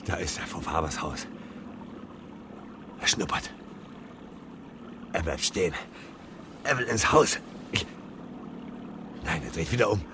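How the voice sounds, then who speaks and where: A man's voice: deep voice, expressive, slow pace, pauses often, background noise. A man acting a hunted fireman on the run in a radio play, muttering agitatedly to himself, defiant, sarcastic and afraid. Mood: tense, excited.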